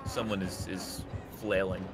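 A man's voice: low-pitched, level tone, unhurried, music in the background. A man chatting casually with friends while playing a video game. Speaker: gamer in a group play session. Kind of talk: casual chat over gameplay. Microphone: online voice chat mic.

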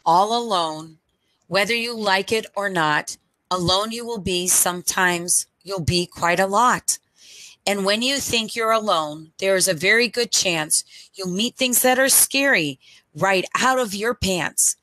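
Bright-voiced woman reading a storybook slowly. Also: mid-range voice, no room sound, rough recording. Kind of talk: read-aloud picture book storytime. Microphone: headset microphone.

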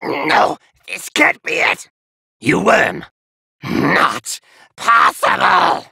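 Casting: arrogant alien parasite villain, anime game; harsh rasp, wildly swinging pitch, menacing taunts. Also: male voice, huge pitch swings, very slow, no echo, clean studio sound, heavily acted. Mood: disbelieving rage. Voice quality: raspy, gravelly. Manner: grandiose, menacing, taunting, often shouting. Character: arrogant, sadistic alien parasite conqueror, male, gloating villain. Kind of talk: theatrical anime game battle lines.

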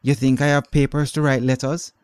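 A man voicing a mean, scolding middle-aged aunt with a sharp, grudging manner in a storybook reading. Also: medium pitch, level tone, fairly quick, smooth, dry recording, pitched above the performer's own voice, lightly acted.

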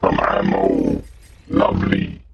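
A man voicing a slow, guttural demon who calmly bosses others about while commentating on a video game, through a deep voice filter. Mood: calm, musing. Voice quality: guttural, growling, gravelly. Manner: slow, drawn-out, deliberate short phrases. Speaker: gaming channel host. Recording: muffled lo-fi audio, faint noise.

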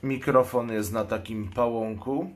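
A man explains a product in an unboxing commentary. He speaks slowly at a medium pitch, in a measured, matter-of-fact manner. There is clear background noise, with clicks and crinkling packaging. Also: fairly even intonation. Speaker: product reviewer and channel host. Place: indoors at a table. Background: noise, plastic packaging crinkles and clicks.

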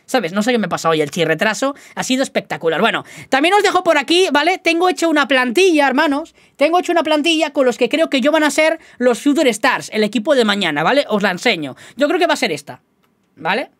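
A man's voice: very high voice, lively intonation, fast talker, a bit bright, no room sound. A young man with a slight Galician-tinged Spanish accent, chatting casually and rambling to his viewers as a gaming YouTuber, often poking fun at himself. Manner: chatty, rambling, self-mocking, keeps addressing the viewers.

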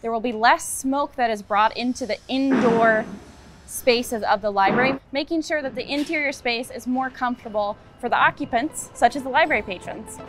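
A woman's voice: on the high side, moderate pace, music in the background. A woman in her thirties, a project architect, explaining construction steadily in an outdoor interview at a building site.

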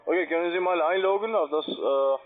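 A non-native man with a foreign accent, working as a call centre agent, giving halting instructions. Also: fairly high pitch. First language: not German. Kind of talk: phone support talk. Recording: tinny phone line.

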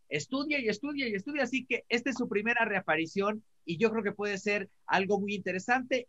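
A middle-aged Mexican man speaks in a high, sharp voice. He comments emphatically as a panelist, stressing points by repetition. The sound is slightly muffled, as on a video call. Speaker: talk show panelist. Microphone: computer mic on video call. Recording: dull video call audio.

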